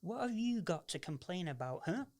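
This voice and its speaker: A woman plays a hard-nosed ex-military woman in a radio drama. Her voice sits fairly low. She talks in a blunt, mocking way and brushes off whatever she is told. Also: very animated, moderate pace, darkish tone. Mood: scornful. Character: hard, scornful ex-military relative, pushing property business. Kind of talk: radio play acting.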